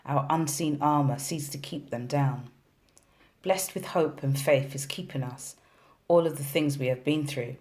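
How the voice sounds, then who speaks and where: A woman reading a poem aloud in a measured recitation style. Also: extremely low pitch, darkish tone. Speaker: poetry reader.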